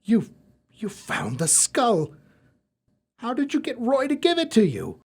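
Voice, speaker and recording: A man's voice: extremely high pitch, wildly swooping pitch, measured pace, background noise, heavily acted. A man playing an easily shocked, earnest university professor in an audio drama, speaking dramatically. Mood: shocked, indignant.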